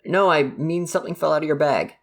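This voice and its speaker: A performer plays a caring dad with a mid-pitched, gravelly voice that often breaks into fry. He talks in a halting, stammering way, nervous and jokey yet devoted to his kid. It is a one-sided conversational ASMR roleplay. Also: lightly acted. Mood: casual, teasing.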